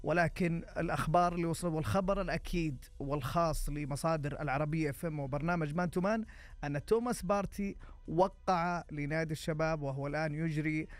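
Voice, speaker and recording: A Saudi man co-hosting live football talk and news, often pausing to search for his words. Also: on the high side, no echo. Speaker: sports radio co-host.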